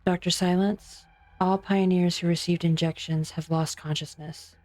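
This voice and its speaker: Woman doing a formal lab researcher, low mellow voice, faint music. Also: level tone, normal speed, close to an everyday voice. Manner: formal, procedural. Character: precise female lab researcher.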